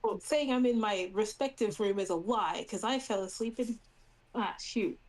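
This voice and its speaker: A woman's voice: mid-range voice, moderate pace, a little mellow, poor audio. A woman playing a tabletop role-playing game, chatting and improvising casually in a playful way.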